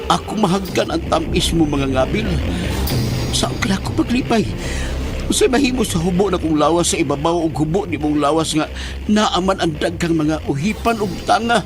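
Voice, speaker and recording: A man with a Cebuano Filipino accent acting an entranced, seduced man in a horror audio drama, moaning between slow dramatic lines. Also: fairly high pitch, natural voice. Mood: aroused, hesitant. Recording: loud music bed over the voice.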